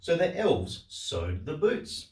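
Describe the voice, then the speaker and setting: A man reading a storybook aloud for children in a simple, deliberate way. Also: on the high side, wildly swooping pitch. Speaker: storyteller, host of a story channel. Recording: roomy echo, distant microphone.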